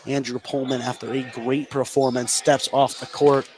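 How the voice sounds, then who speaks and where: A man's voice: mid-range voice, flat delivery, moderate pace, over a noisy crowd. A man doing live play-by-play basketball commentary as a radio sports announcer. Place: indoor basketball gym, live radio broadcast. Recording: clear background noise, live gym ambience.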